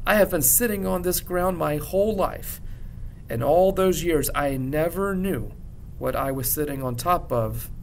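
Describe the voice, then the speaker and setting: Man acting elderly village granddad, storybook character, loud, marveling, declaiming, rumbling background noise. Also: measured pace, creaky. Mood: amazed. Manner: declaiming to those around him.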